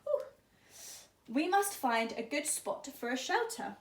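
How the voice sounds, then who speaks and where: A woman voicing a kindly, hearty old grandad for a children's storybook, with booming calls and gentle reassurance. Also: big pitch swings, slow, reverberant, heavily acted. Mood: relaxed.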